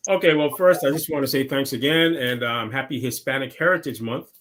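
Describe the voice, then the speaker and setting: A man's voice: medium pitch, a bit bright. A man from Guyana, a city council member, explaining government step by step as an interview guest over a remote call. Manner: measured, explains step by step with tag questions.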